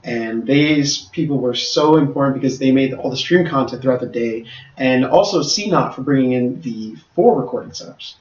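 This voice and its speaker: A man's voice: medium pitch, darkish tone. A man organizing tournaments, talking through a casual podcast recap in a rambling way with many restarts. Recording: roomy, distant, dull mic.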